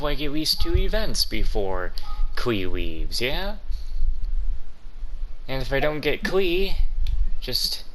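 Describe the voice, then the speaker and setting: A man's voice: medium pitch, fairly bright tone, poor audio. A man giving casual gameplay commentary, rambling and swearing as he talks to himself. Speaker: gaming streamer. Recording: clear background noise with clicks.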